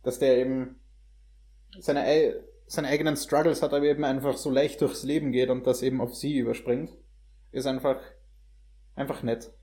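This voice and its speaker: Mellow-voiced young man in casual talk. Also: mid-range voice, flat delivery, normal speed. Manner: hesitant phrasing mixed with English slang words. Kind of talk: casual conversational film review.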